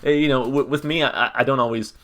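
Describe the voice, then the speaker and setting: A man reviewing a song on his channel in a casual, monotone way, full of ums and restarts. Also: mid-range voice, quick pace. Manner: hesitant, many ums and restarts. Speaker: music reaction YouTuber. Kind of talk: casual reaction commentary.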